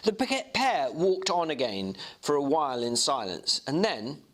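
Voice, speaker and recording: Animated male literary narrator. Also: on the high side, a little slow. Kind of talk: storybook narration and informal commentary.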